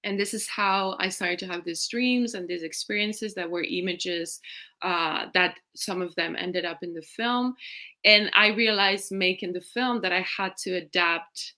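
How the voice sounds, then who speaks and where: Bright-voiced Spanish-raised woman director. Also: fairly low pitch, a little slow. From Spain. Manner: reflective, rambling with restarts and soft laughs. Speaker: film director, guest. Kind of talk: festival Q&A interview over video call.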